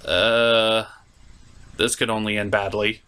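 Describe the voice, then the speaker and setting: A man's voice: lowish voice, level tone, slow pace, pushed and bright, a little hiss or hum. A man delivering comedic scripted review commentary as host, in a theatrical, opinionated manner.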